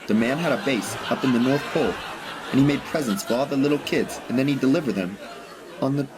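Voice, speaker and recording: A man playing a nervous, earnest thirty-year-old in a radio drama, husky and hesitant. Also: mid-range voice, fast talker, a crowd in the background, noisy background, lightly acted. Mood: earnest, insistent. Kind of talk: high school radio drama acting.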